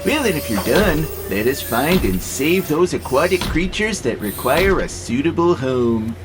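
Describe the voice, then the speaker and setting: Man as a wry, peaceable robot, expressive pitch. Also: unhurried, over music. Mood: persuasive. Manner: calmly persuasive, playfully sarcastic. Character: gentle, wry, philosophical male freight-hauling robot, peaceable. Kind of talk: audio drama acting.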